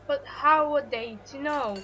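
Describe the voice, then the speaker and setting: A woman's voice: sits high, measured pace, bright, forceful tone, highs cut off. A young woman acting in an audio drama as an earnest woman who is firm in her convictions. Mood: argumentative. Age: young adult. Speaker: student actor.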